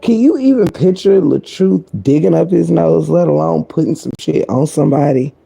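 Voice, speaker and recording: Deep, gravelly woman gossiping on stream. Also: normal speed, rough recording. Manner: sassy and blunt, heavy cursing; talking low to keep quiet. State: croaky voice. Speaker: live-stream commentator. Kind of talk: casual live-stream gossip commentary.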